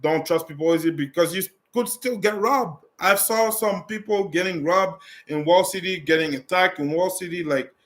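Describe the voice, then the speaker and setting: A man's voice: high-pitched, moderate pace, few pauses, fairly bright tone. Man chatting casually. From Canada. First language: French. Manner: loose and conversational, with frequent restarts. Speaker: vlogger. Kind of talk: casual chat answering viewer questions.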